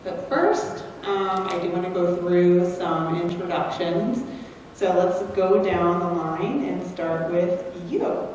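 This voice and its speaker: Woman, panel moderator, upbeat, encouraging, booming hall echo, faint room hiss. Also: fairly even intonation, takes it slowly, a little mellow, highs cut off. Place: large echoey event hall. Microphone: distant from the recording mic. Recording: roomy hall sound from far off.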